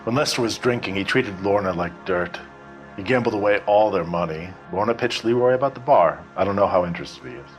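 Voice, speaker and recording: A guarded, sardonic barkeep from a 1940s detective game, played by a man. The voice is low and the delivery dry and offhand, as if giving away little. Soft music sits behind it. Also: fairly quick, close to an everyday voice. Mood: offhand, resigned. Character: guarded, sardonic working-class bar manager. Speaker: bartender. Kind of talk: scripted video game dialogue, 1940s crime drama.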